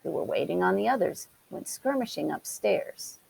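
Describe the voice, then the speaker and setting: A woman reading a children's story aloud in an expressive way. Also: mid-range voice, normal speed, gravelly, husky, rough recording. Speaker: storybook reader. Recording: hissy background noise.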